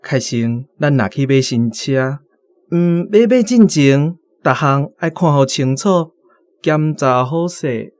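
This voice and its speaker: A man's voice: on the high side, lively intonation, takes it slowly, a little mellow, no echo. An actor playing an awkward, calculating doctor courting a woman in a radio drama, with a hesitant, hedging way of talking. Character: awkward, calculating male doctor, a picky suitor. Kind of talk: Taiwanese radio drama acting.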